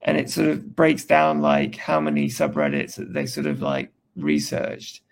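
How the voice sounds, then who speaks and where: An English man with a croaky voice, talking in a casual group voice chat as a Reddit moderator and stumbling over restarts. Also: on the high side, sing-song, a little hiss or hum. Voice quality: croaky, gravelly voice. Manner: halting, restarts often.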